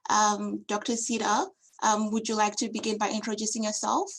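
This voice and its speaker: Creaky-voiced woman moderating a webinar. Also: medium pitch, little pitch movement, normal speed. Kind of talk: introducing panellists at an online webinar. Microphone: video call.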